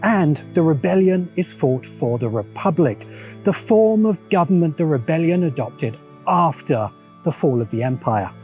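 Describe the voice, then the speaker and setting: British male essayist, mellow and slightly high voice, deliberate emphatic delivery, music underneath. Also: animated. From Britain. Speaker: video essayist, host. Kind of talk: scripted narration of a political film essay. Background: background music.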